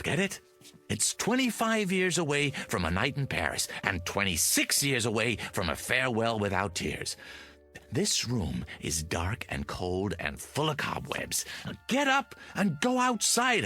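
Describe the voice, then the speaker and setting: An actor playing a loyal, cajoling talent agent in a mid-century television drama, emphatic and placating. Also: male voice, mid-range voice, bright, forceful tone, breathy edge, heavily acted. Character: loyal, coaxing male Hollywood talent agent. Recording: vintage TV soundtrack with music.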